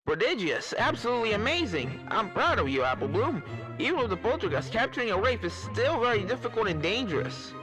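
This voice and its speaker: A learned pony professor acted by a man talks in a high voice over clear background music. The character is caring and earnest, explaining things like an expert in a fan-made storybook tale. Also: big pitch swings, fairly quick, rough recording. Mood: proud, reassuring. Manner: earnest, explanatory, stammers when flustered.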